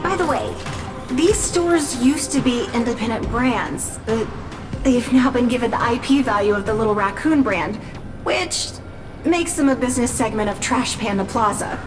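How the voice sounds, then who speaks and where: A woman voicing an eager, calculating assistant in a game, who reports numbers to her boss in a crisp, clipped delivery. Her voice sits high. Game music plays behind her. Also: moderate pace, close to an everyday voice. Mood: briskly reporting. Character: efficient female business assistant, eager and calculating. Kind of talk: game cutscene voice acting.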